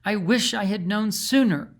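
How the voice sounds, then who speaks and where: A man's voice: high voice, moderate pace, voice pushed up. A man voicing a distressed young princess in a storybook, fretful and full of regret. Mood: regretful.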